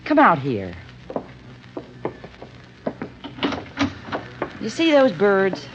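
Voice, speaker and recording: A woman's voice: mid-range voice, huge pitch swings, a little slow, over music. A woman playing a bored, rebellious young American runaway in a 1950s radio drama, defiant and wistful. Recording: muffled old radio recording, faint noise.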